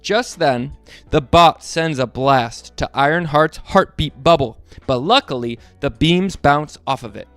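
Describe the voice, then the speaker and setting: A man reading a storybook aloud to children in a playful, animated way, asking them to join in. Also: fairly high pitch, a little slow, no room sound, faint music behind.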